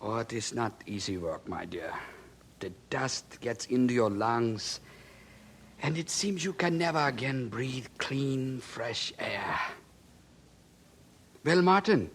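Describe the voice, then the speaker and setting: A man's voice: mid-range voice, animated, takes it slowly, pauses often, a little hiss or hum. A man acting in a radio drama as a hardworking, poor miner father, earnest, hopeful and emphatic in his delivery. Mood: weary, resolute.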